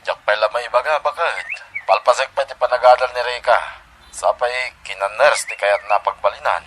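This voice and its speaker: A man playing a gentle, affectionate father and husband in a sentimental audio drama, with a Filipino accent. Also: mid-range voice, fairly quick, slightly rough. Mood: earnest.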